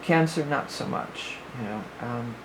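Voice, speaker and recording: A woman's voice: rumbling low, unhurried, a little hiss or hum, poor audio. A woman giving a casual spoken astrology forecast as a psychic reader, rambling and thinking aloud with many fillers, her voice a little worn.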